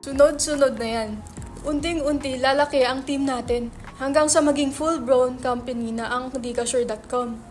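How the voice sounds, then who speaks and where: Woman playing brash, high-pitched Filipino business hustler. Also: fairly even intonation, moderate pace, darkish tone, soft background music, lightly acted. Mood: eager, scheming. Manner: sarcastic, colloquial, mixing English into Tagalog. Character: brash, money-minded male website entrepreneur, teasing his friend. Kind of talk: dramatic reading of a stage script.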